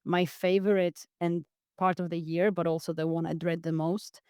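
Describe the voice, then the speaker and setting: A woman's voice: fairly low pitch, level tone, normal speed, warm tone, clear, resonant tone, no echo, studio quality. A woman from Greece, a podcast guest, talking earnestly in an interview and often restarting her sentences. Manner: earnest, with frequent restarts and repeated words. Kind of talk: reflective interview talk.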